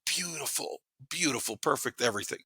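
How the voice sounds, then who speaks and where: Bright, punchy man in his fifties. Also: medium pitch, expressive, brisk, slightly rough, dry recording. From Panama. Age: 50s. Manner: blunt, slangy, profane, repeats words for emphasis. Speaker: podcast guest. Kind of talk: casual chat, storytelling.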